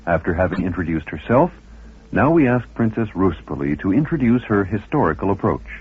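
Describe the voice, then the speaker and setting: Husky low-voiced guy doing a radio intro, sounds like it's coming down a phone line. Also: very animated, fairly quick. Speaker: interviewer introducing a guest. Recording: muffled, phone-like old radio recording.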